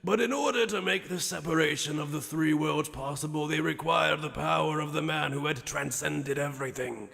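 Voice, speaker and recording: A man voicing an ancient, grandiose sage in an anime fan dub, telling lore in a measured, portentous and theatrical way. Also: on the high side, fairly even intonation, gravelly, pushed and bright, full character voice. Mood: grave, grandiose. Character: ancient, grandiose male sage recounting the world's creation. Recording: long hall-like reverb.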